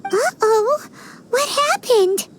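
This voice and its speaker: A woman voicing a small, childlike, excitable fairy companion in a video game, squeaky and full of exclamations. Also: drawn-out words, slight background noise, heavily acted. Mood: startled. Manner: exclamatory, refers to itself in the third person. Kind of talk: video game character acting.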